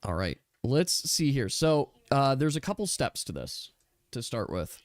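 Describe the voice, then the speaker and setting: A man acting as game master, casually narrating a tabletop game in a halting, thinking-aloud manner. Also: medium pitch, big pitch swings, no room sound. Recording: dry close mic with music behind. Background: music.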